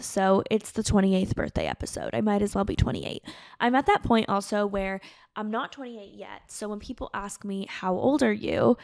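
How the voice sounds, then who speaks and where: Podcast host, woman, late 20s, slight vocal fry, close and dry sound, earnest solo chat. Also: a little high, a little mellow, dry recording. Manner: chatty, earnest, heavy on fillers. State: tearful, emotional. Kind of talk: casual solo podcast monologue.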